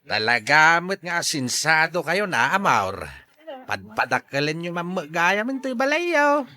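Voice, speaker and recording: A man acting a nosy, forceful older father-in-law in an Ilocano-accented radio drama. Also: on the high side, very animated, measured pace, full character voice. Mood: prying, grumbling.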